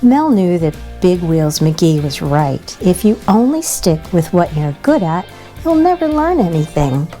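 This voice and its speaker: Slow, mellow woman reading a storybook. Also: fairly low pitch. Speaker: children's storybook reader. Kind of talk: expressive read-aloud narration for children.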